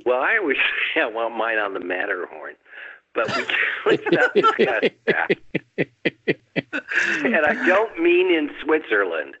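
A man's voice: mid-range voice, huge pitch swings, a little slow, bright, forceful tone, breathy edge, lo-fi sound. A man who is the guest author, telling a story in a casual chat with dry humor and frequent chuckles.

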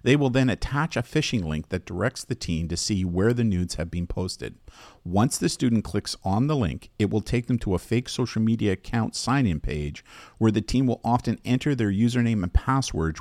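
A man hosting an educational safety podcast, reading a scripted explanation in a measured, explanatory manner. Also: on the low side, lively intonation, a little mellow, no echo.

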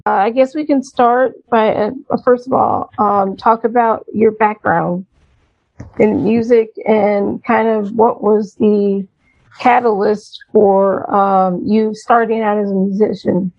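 A woman's voice: mid-range voice, steady tone, takes it slowly, creaky, darkish tone, dull audio. A woman hosting an interview podcast in a casual style. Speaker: podcast host interviewing musicians.

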